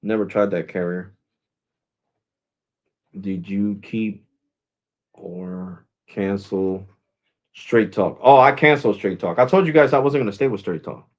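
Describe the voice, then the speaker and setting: A man's voice: on the low side, unhurried, pauses often, muffled recording. A man in his forties hosting a live stream, chatting casually and rambling, saying "bro" and "guys" often. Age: 40s. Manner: switches to Spanish with family.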